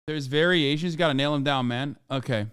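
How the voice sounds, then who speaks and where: A man's voice: a little high, fairly quick, fairly bright tone, smooth, dry recording, studio quality. A man hosting a live stream, giving casual, profane reaction commentary and bantering with his chat. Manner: swearing, exclamatory, bantering with chat. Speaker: streamer host.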